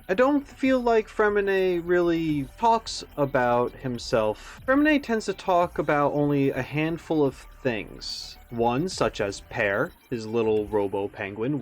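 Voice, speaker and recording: A man hosting a reaction video with casual commentary, stressing words theatrically and pausing for emphasis. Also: on the high side.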